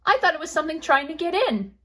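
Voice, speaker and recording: A woman's voice: high voice, big pitch swings, fast talker, a bit bright. A woman voicing an anxious five-year-old bunny girl in a storybook, childlike and unsure.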